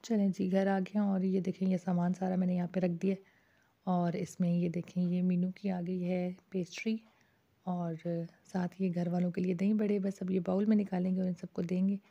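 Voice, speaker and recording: A woman's voice: lowish voice, little pitch movement, normal speed, mellow. A woman from Pakistan vlogging in a casual, chatty way, talking to viewers as she shops in a bazaar at night and then at home. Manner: chatty, addresses viewers with frequent "ji". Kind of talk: casual vlog narration. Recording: dull lo-fi phone audio with faint street noise.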